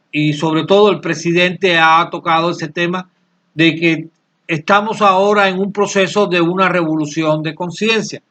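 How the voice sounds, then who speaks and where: A man in his late sixties from Cuba, answering interview questions earnestly and haltingly, often repeating words as he searches for them. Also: high-pitched, a bit bright. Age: 60s. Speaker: channel creator, interviewee.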